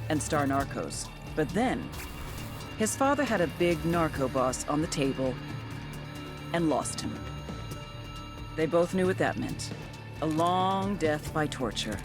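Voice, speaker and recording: An actress playing a tough, sardonic female intelligence agent, narrating a game briefing in a wry, foul-mouthed way over music. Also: deep voice. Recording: music behind the voice.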